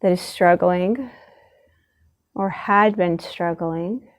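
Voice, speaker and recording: A woman leading a gentle guided meditation in a soothing, unhurried way, leaving long pauses between short phrases. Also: on the low side.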